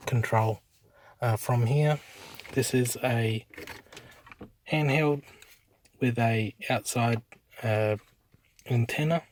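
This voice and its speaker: An Australian man giving a casual hands-on installation demo in halting, unscripted short phrases. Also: mid-range voice. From South Australia. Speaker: amateur YouTube presenter. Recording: noisy amateur handheld recording, clicks.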